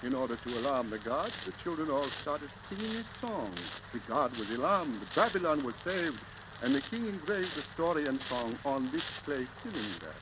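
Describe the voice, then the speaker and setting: Earnest, deferential assistant in a radio play. Animated pitch, 1930s delivery, very noisy old transcription disc. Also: male voice, moderate pace. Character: earnest, deferential male assistant to French archaeologist.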